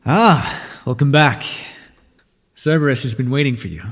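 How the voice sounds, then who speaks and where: A gentle male god of the underworld from an audio roleplay, voiced by a man speaks at a medium pitch. He sounds concerned and lightly teasing. His voice trails off in a long hall reverb. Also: expressive, unhurried. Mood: fond, concerned.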